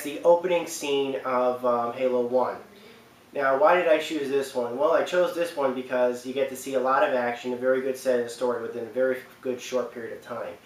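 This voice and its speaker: A man hosting a gaming countdown with casual, unscripted commentary, rambling and often repeating himself. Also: mid-range voice. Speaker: host of a gaming video countdown. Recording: roomy, distant mic, faint noise.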